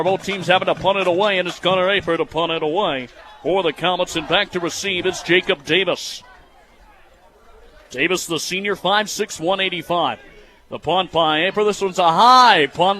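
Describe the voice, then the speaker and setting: A young American man calls football live on the radio. His voice is high and bright, with an excitable, forceful delivery that pushes up for the action. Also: normal speed. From United States, Michigan. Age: 20s. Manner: excitable, raising his voice on plays. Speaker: radio play-by-play announcer.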